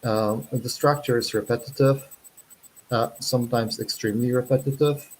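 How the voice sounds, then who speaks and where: A man from Poland with a Polish accent, a non-native English speaker, giving a careful online presentation with many pauses. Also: medium pitch, fairly even intonation, a little hiss or hum, low-quality recording. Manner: careful, unhurried, self-conscious, with many pauses and fillers. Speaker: zine editor giving a presentation.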